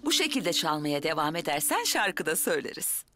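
A woman in her sixties playing a kindly older music teacher in a children's drama, patient, encouraging and gently instructive. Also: low-pitched, big pitch swings, fairly quick. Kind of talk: children's television drama acting. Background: ukulele music.

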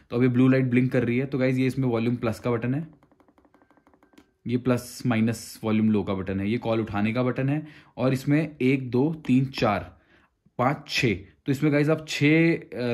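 A man's voice: medium pitch, a little mellow. A man explains a product step by step in a conversational vlog style, mixing in English phrases. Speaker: motorcycle gear reviewer. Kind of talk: casual hands-on product tutorial.

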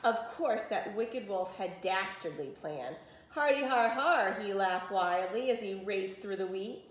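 A woman's voice: medium pitch, unhurried, slight background noise. A woman in her forties reading a children's story expressively as a teacher, with explanatory asides to pupils. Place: small room indoors. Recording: roomy echo of a small room.

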